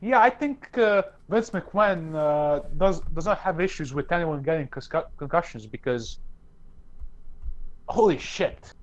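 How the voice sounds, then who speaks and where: A man's voice: on the high side, animated, measured pace, dull audio. A man from Serbia co-hosting a podcast, talking emphatically with plenty of swearing and lots of "dude". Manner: emphatic, profane, says "dude" often. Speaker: podcast co-host. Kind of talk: casual chat.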